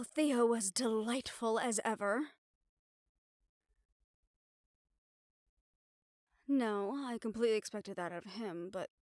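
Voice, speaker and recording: A woman's voice: medium pitch, no room sound, clean studio sound, close to an everyday voice. A woman playing a mafia boss's blunt, exasperated, loyal girlfriend in an audio roleplay, swearing and sarcastic. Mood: guarded, wary. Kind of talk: audio roleplay acting to the listener.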